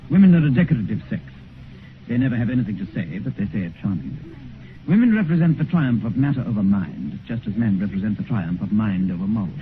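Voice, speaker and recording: An actor playing a cynical, witty, urbane gentleman with an upper-class English accent, amused and condescending, in a 1950s radio drama. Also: lowish voice, very animated, fast. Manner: languid, epigrammatic, amused condescension. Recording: tinny, noisy 1950s radio recording.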